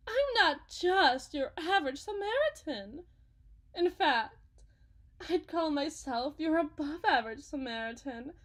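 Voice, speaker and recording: A woman playing a folksy, grandiose con-artist faith healer in a scripted roleplay, with theatrical sales patter and dramatic pauses. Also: very high voice, full character voice. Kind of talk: scripted ASMR roleplay monologue.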